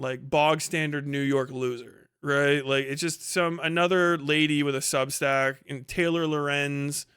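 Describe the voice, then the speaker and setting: Higher-pitched man with a clear voice, ranting bluntly. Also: fairly bright tone. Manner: profane, blunt, scornful. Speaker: livestream host and commentator. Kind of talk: casual opinionated rant to stream audience.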